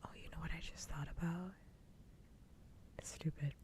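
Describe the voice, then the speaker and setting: A woman livestreaming ASMR, chatting casually and playfully in a soft, breathy whisper close to the microphone. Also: deep voice, fairly even intonation, normal speed. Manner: playful, rambling.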